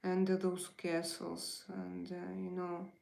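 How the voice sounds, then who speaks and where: Slow, gravelly woman with Russian accent. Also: lowish voice, monotone, darkish tone, reverberant. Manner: chatty, hesitant, greets and answers chat messages. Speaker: livestream host. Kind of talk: casual livestream chat with viewers.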